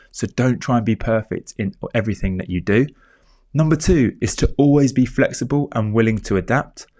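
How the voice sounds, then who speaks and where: Podcast host, man, lowish voice, congested from a cold, earnest and measured. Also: darkish tone. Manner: earnest, measured, repeats key points. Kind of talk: solo reflective podcast monologue.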